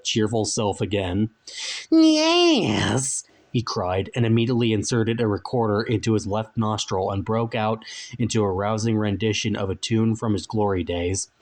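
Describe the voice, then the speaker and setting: A man's voice: fairly low pitch, darkish tone. A man narrating a story as a dramatic reading, in scripted audiobook style.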